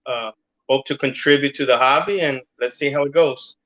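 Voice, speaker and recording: A man's voice: medium pitch, normal speed, a bit bright, poor audio. A middle-aged man from Cuba, a guest in a casual interview, talking hesitantly with many fillers.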